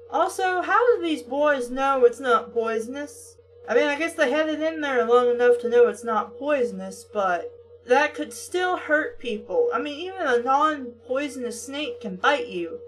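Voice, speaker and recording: A high-voiced woman reads a story aloud and keeps stepping out for sardonic, sweary remarks. Her delivery is slow and casual, recorded a little away from the microphone. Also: expressive, recorded from a distance, soft background music, poor audio. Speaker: reader and commentator. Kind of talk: story reading with sarcastic casual commentary.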